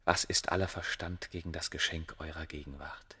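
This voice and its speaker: A man acting an ardent scholar of ancient Egypt in a German radio play, with formal, poetic phrasing and a gravelly voice. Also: a bass voice, flat delivery, moderate pace, bright, forceful tone, full character voice. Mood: courtly flattering.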